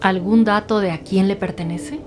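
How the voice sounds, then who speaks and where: A woman's voice: mid-range voice, fairly even intonation, natural voice. Actress as commanding woman lead detective, music behind. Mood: authoritative. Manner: brisk, authoritative questioning. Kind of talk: scripted audio drama dialogue.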